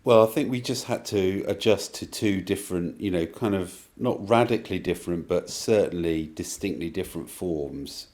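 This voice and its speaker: Deep-voiced man giving considered answers. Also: moderate pace, few pauses, darkish tone. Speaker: writer, interviewee. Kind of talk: considered interview talk.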